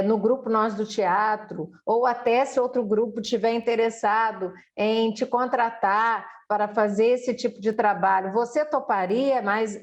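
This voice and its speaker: A woman with a Brazilian Portuguese accent, hosting an interview in a deliberate, conversational way and circling back over her words. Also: fairly high pitch. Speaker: interviewer and host.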